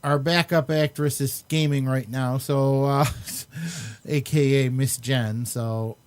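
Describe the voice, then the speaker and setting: A man's voice: medium pitch, fairly even intonation, slow, a little mellow. A man hosting a live online show, chatting casually, laughing often and using many fillers, over a computer mic on a video call. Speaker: host.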